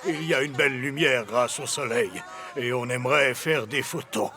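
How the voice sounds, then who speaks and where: A man's voice: mid-range voice, moderate pace, pushed and bright, lightly acted. A man voicing a polite, mild photographer visiting in a children's cartoon. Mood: friendly. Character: courteous, apologetic male photographer visitor.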